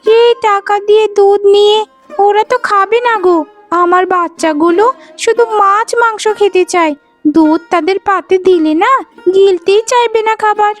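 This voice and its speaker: Casting: woman as a very high-voiced village housewife, complaining yet sympathetic, sing-song cartoon delivery. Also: moderate pace, faint music behind. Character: poor, plain-spoken village mother, pitying market neighbour. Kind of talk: Bengali moral-story cartoon voice acting.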